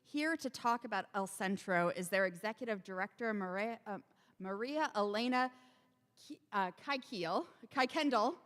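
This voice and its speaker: A woman's voice: mid-range voice, moderate pace, fairly bright tone. A woman introducing the next speaker at a church assembly, in a plain way, tripping over an unfamiliar name. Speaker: assembly presenter. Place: large church hall. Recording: long reverb of a large hall.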